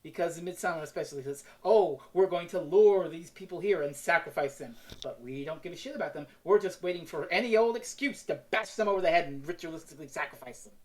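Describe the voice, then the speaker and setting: Female podcast reviewer, lowish voice, chatty, rambling, excitable. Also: quick pace, few pauses. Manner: rambling, excitable, acts out mock quotes. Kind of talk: casual review discussion.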